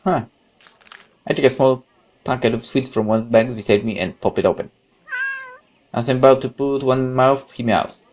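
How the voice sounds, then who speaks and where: A man doing a let's play, reading game text aloud and adding chatty, expressive commentary. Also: medium pitch, normal speed, pauses often, slight background noise. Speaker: gaming YouTuber. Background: guitar music.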